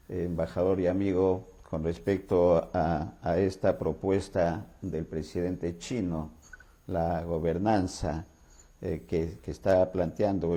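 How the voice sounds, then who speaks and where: A man's voice: sits low, dark tone. A man hosting an interview, courteous, with frequent fillers and restarts. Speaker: talk show host.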